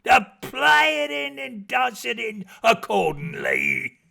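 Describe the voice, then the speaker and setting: A man voicing a hearty, exuberant drummer who proclaims a wedding toast with booming, drawn-out delight. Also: sing-song, vocal fry, bright, forceful tone, full character voice. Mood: hearty, jubilant.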